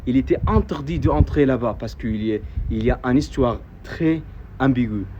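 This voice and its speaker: A man's voice: mid-range voice, animated, moderate pace. A man from Saudi Arabia whose first language is Arabic, guiding a tour in French with an Arabic accent, hesitant, full of fillers and repeated words, recorded outdoors. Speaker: local tour guide vlogger. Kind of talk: casual guided-tour explanation. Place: outdoors at historic old town. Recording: dull, slightly noisy outdoor audio.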